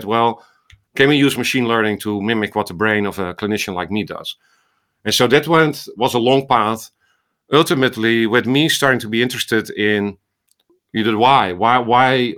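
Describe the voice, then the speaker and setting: A man's voice: fairly low pitch, a bit bright. A middle-aged man with a Dutch accent, giving long, thoughtful answers as an interview guest with small restarts. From Netherlands. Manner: talkative, explains at length with small restarts. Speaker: guest, ophthalmologist and AI researcher.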